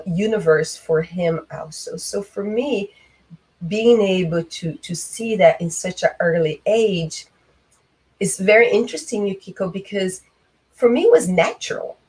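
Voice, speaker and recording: A middle-aged woman from Brazil, a guest on a video call, speaking slowly and reflectively with many pauses and fillers. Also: mid-range voice, a little mellow, low-quality recording. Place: small room indoors. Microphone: webcam on video call.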